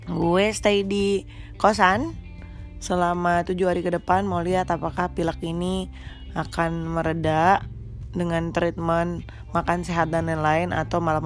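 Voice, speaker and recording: A young woman with a stuffy, congested nose, chatting casually and teasingly to mothers as a podcast host. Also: on the low side. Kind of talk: casual chat to mothers, mixing in English. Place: small rented room.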